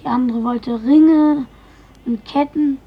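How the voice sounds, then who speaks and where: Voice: sits high, fairly even intonation, slow pace. A child retelling a fairy tale from memory, recorded on a lo-fi cassette tape.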